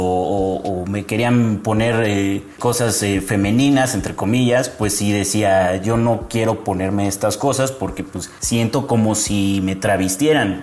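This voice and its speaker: A man in his twenties from Mexico City with a Mexican accent, telling his life story as a guest, with many pauses and restarts. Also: fairly low pitch, a little mellow. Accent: Mexican Spanish. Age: 20s. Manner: reflective, many hesitations and restarts. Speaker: interview guest. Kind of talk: personal storytelling in an interview.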